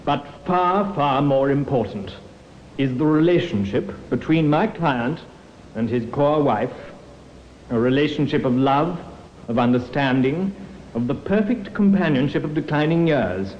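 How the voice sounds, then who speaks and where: An actor playing an earnest defence barrister in an old radio courtroom drama, formal, precise and persistent. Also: male voice, mid-range voice, animated, unhurried, natural voice. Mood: earnest pleading. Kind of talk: 1950s radio drama acting. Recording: muffled, hissy old radio recording.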